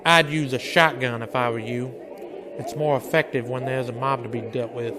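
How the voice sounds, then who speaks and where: A man's voice: mid-range voice, steady tone, normal speed, background noise, close to an everyday voice. A man playing a stern, world-weary marshal in a Western radio drama, with a commanding, curt manner. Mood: steady, commanding. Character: stern, world-weary male frontier marshal facing down lynch mobs.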